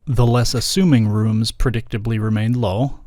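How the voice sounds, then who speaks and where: A middle-aged man narrating a scripted explainer in measured, formal wording with dry, wry asides. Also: lowish voice, steady tone, darkish tone. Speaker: video creator and narrator.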